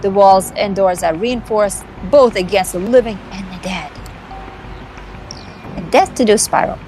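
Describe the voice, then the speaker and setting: A woman playing a scheming, cocky female vampire hacker in an amateur visual novel performance, theatrical and condescending with dramatic pauses. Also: mid-range voice, darkish tone, close to an everyday voice, poor audio. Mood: scheming, persuasive. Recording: music behind the voice.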